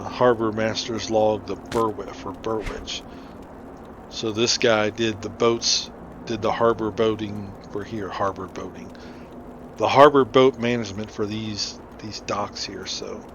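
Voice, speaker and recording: A man's voice: fairly low pitch, fairly even intonation, takes it slowly, lo-fi sound, background noise. A man giving casual, rambling gameplay commentary as he hosts a playthrough. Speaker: host of a game playthrough. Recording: music behind the voice. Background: loud game music.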